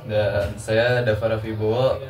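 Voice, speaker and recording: A man's voice: on the low side, flat delivery, measured pace, far from the mic, a crowd in the background. A teenage boy from Central Java chatting with friends and asking questions. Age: teens. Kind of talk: casual chat. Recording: echoey room, off-mic.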